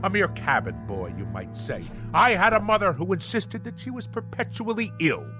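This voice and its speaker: A man's voice: sits high, sing-song, normal speed, over music. An actor in a radio drama playing a pompous, blustering middle-aged businessman who talks in bombastic nautical phrases. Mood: defensive, ranting. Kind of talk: theatrical radio drama acting.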